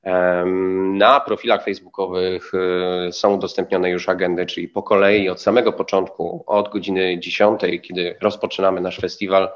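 A man in his thirties co-hosting a live festival stream from a studio, chatty and filling pauses with hesitations. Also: sits low, level tone, highs cut off. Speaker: festival co-host. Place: studio set in an institute.

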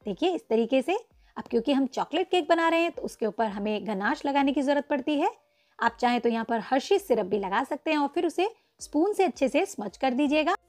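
High-voiced woman guiding a baking tutorial. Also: lively intonation, a little fast, darkish tone. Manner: patient, instructive, addresses viewers as friends. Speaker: cooking channel host. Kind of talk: step-by-step baking tutorial voice-over.

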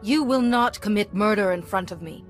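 An actress playing a calm, principled young Jedi in a video game, measured and earnest. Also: female voice, mid-range voice, lightly acted. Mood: firm, measured. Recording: music playing behind the voice.

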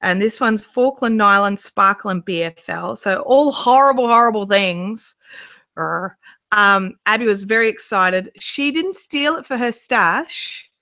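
A woman's voice: medium pitch, animated, a little slow, pushed and bright. A woman in her thirties, a yarn dyer and vlogger, chatting on a live show from her living room couch with the camera in front of her. She talks in a rambling way, with lots of ums and restarts, and gushes over yarn. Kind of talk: casual live chat show-and-tell.

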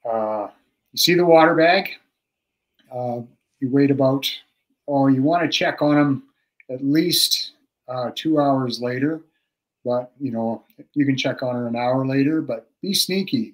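A man's voice: medium pitch, rough recording. Middle-aged male guest expert, casual how-to talk, filler-heavy, webcam sound from a home room. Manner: relaxed, practical, many fillers and restarts, chuckles often. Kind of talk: casual instructional talk on a video call. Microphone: video call webcam.

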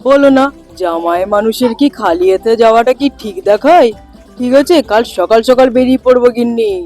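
A man's voice: very high-pitched, a little fast, a little mellow, faint music behind. A man playing a gluttonous young son-in-law in a cartoon folk tale, coaxing and always chattering about food. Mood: coaxing, eager.

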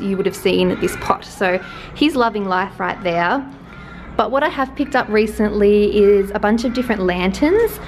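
A young woman in her twenties with an Australian accent, slightly hoarse, chatting scatterbrained and self-mocking as she films an apartment tour on a handheld camera. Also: medium pitch, slight background noise. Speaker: lifestyle vlogger. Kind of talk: casual apartment tour vlog. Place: small apartment indoors and balcony. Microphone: handheld camera at arm's length.

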